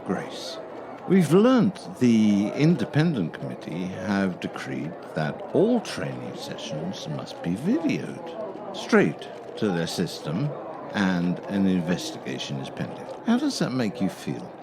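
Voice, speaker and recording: A man's voice: low-pitched, huge pitch swings, slow pace, background noise, heavily acted. A man acting in a radio play as a probing, insistent interviewer questioning sports figures. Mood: formal, probing.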